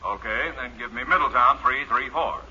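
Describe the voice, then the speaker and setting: An actor playing an insistent, frustrated and impatient telephone caller in a 1940s radio comedy. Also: male voice, mid-range voice, moderate pace, pushed and bright. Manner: insistent, exasperated. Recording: very noisy, dull 1940s radio recording.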